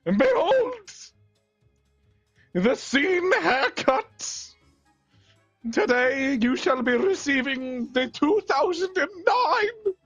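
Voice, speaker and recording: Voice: sits high, very animated, very slow, long pauses, a bit bright, no room sound, soft background music, heavily acted. Someone playing a cackling villain barber. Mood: gleeful menacing. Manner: archaic pompous speech, frequent cackles.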